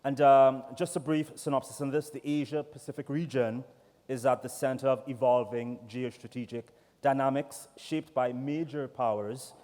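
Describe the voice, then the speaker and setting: Trinidadian guy hosting a panel, easygoing, lots of ums, mid pitch, big hall echo. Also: moderate pace. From Trinidad and Tobago. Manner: relaxed, chatty, with many fillers. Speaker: panel moderator. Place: large conference hall. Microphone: desk microphone. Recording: echoey hall reverb.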